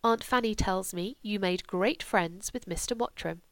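A woman's voice: medium pitch, moderate pace, no echo, lightly acted. A woman voicing a stern, devout older aristocratic mother who speaks in a cool, reproving way. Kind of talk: audiobook character voice.

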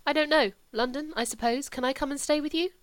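A woman voicing a sullen, impulsive young English gentleman who is still a little drunk, petulant and raised. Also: high voice, fairly quick, no room sound, pitched above the performer's own voice, close to an everyday voice. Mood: restless.

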